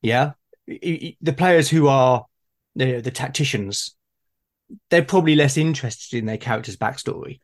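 A man's voice: mid-range voice, normal speed. A man talking casually in a discussion, tentative and often repeating his words as he works out a thought. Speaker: podcast guest. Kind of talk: casual conversation about tabletop gaming.

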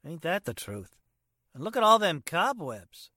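Man playing a bright, brash teenage boyfriend. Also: a little high, very animated, a little slow, dry recording, studio quality, full character voice. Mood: cocky, teasing. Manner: slangy, cocky, casual grammar. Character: brash, teasing teenage boyfriend with slangy bravado. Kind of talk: 1940s radio drama acting. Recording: noisy recording with rain.

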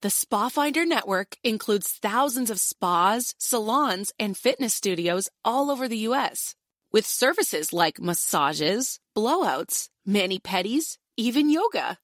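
A woman's voice: medium pitch, expressive, measured pace, no room sound, studio quality. An American woman giving a scripted advertisement read as an announcer.

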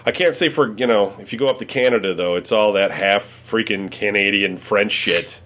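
A man's voice: fairly low pitch, normal speed, fairly bright tone. An American man answering questions in a casual chat, rambling with many fillers and swearing freely. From United States. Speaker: interviewee.

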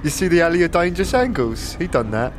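A man's voice: on the high side, sing-song, fast, a little hiss or hum. A man playing a scruffy drunken tavern regular in a fantasy audio drama, rambling and jittery with slurred, stammering, repetitive speech. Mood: tipsy, rambling. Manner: slurred, stammering, repeats words.

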